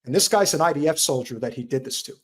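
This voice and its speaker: A man talking in a casual podcast chat, earnest and halting, often restarting his sentences. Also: a little high, animated. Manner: earnest, halting, repeats himself.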